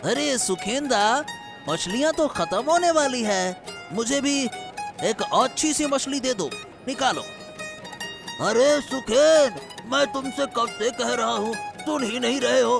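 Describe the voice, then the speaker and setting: An impatient cartoon villager, played by a man, nagging and demanding. His voice is extremely high and creaky, with plucked music behind it. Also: expressive, slow pace, full character voice. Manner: nagging, insistent. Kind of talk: Hindi cartoon dialogue.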